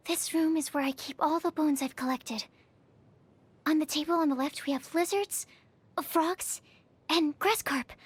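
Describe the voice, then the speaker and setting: A woman's voice: high voice, unhurried, no echo. A woman voicing a shy, bookish young female alchemist in a video game, speaking in a hesitant, polite and earnest way. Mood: earnest, reassuring. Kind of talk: English video game character voice acting.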